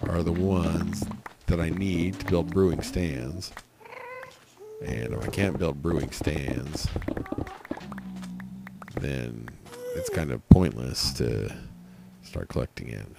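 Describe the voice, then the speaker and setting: A man with a cold, hoarse and raspy, rambling through casual gameplay commentary with lots of fillers. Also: a bass voice, background noise. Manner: rambling, thinking aloud with many fillers. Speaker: let's play host.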